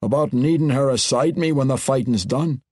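A man voicing a red-bearded male dwarf warrior who speaks in a gruff, growled dwarven dialect. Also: mid-range voice, moderate pace, a little mellow, dry recording, studio quality, voice pushed up, natural voice. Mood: anguished.

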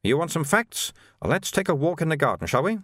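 A man voicing a calm, chatty monk in an audiobook, soothing and lightly teasing as he explains things. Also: on the high side, brisk, voice pushed up. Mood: calm, placating. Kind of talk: audiobook character dialogue.

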